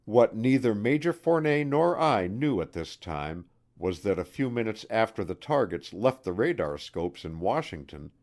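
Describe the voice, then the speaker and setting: A man's voice: fairly low pitch, very animated, moderate pace, few pauses, darkish tone. A man reading a nonfiction audiobook as scripted narration. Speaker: audiobook reader.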